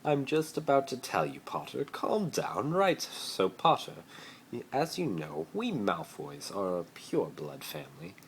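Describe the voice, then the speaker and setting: A man's voice: fairly low pitch, wildly swooping pitch, unhurried, pitched above the performer's own voice, exaggerated voice. A man voicing a haughty, theatrical teenage rich boy wizard in a pompous, over-dramatic way, for a story reading recorded on a phone. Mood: businesslike, haughty. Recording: noisy amateur phone recording.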